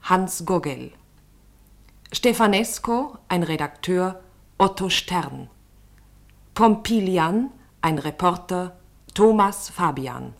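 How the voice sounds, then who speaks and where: A woman radio announcer reading scripted credits in a measured, formal manner. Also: fairly low pitch, lively intonation, creaky.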